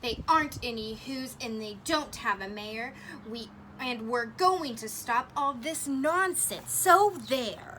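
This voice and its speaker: A woman voicing a gang of scornful male jungle monkeys who shout and jeer. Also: on the high side, slow pace, few pauses, a little hiss or hum.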